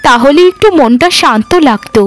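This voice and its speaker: A woman's voice: fairly high pitch, normal speed, soft background music, lightly acted. A woman voicing an earnest, lamenting younger village sister-in-law in a cartoon. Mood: concerned. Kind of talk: Bengali cartoon dub acting.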